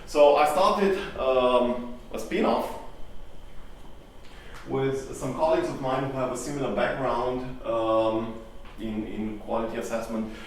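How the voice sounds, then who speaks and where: A man's voice: mid-range voice, measured pace, slight background noise. A middle-aged man giving a live conference talk, hesitant and full of ums and restarts. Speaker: conference speaker. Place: conference hall. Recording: echoey hall from far off.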